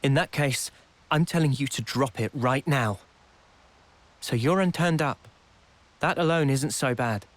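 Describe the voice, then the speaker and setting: A man playing a calm, quietly resolute young soldier in a video game cutscene, speaking in a measured, earnest way with a slightly husky voice. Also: medium pitch, natural voice. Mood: firm, calm.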